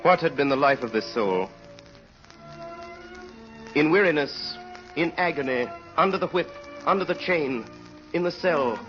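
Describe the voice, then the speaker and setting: A man narrating an old radio drama as a solemn, brooding storyteller, measured and rhetorical. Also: on the high side, a bit bright. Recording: muffled old radio recording with music.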